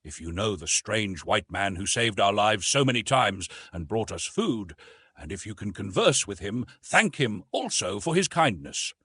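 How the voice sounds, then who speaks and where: A man voicing a courteous gentleman's grateful farewell letter in an audiobook, formal and earnest. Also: on the low side, big pitch swings, unhurried, breathy edge, dry recording, clean studio sound, exaggerated voice.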